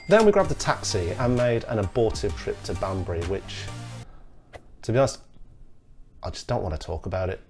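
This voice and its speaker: A man speaks in a low, wry voice. He chats casually to a camera in a small indoor room and drops the odd swear word. Faint music plays underneath. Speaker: beer vlog host.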